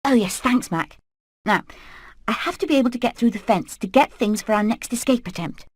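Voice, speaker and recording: A woman's voice: on the high side, lively intonation, brisk, dry recording. A woman voicing a determined hen leader in a video game, earnest and purposeful. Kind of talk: animated video game acting.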